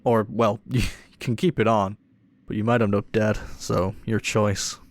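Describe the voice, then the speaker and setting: A man's voice: fairly low pitch, little pitch movement, unhurried. A man playing a cocky, irreverent ghost hunter in an audio roleplay, with a teasing, offhand manner. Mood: sly, cocky. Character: cocky, irreverent male ghost hunter showing a rookie around. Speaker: paranormal investigator character.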